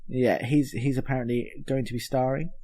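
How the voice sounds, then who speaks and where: A man's voice: mid-range voice, fairly even intonation, a little fast, dark tone. A man hosting a casual film discussion, blunt and sweary as he moves through a list. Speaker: podcast host.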